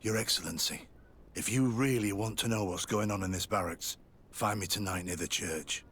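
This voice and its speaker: A man's voice: lowish voice, normal speed, a bit bright, breathy edge. A man playing a guilt-ridden, secretive army sergeant turned informant in a video game, guarded, earnest and confiding. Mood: hushed urgency. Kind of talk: video game cutscene acting.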